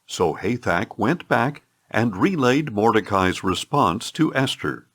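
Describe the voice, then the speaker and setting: Expressive male scripture narrator, lively pitch. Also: slow. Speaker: audiobook narrator.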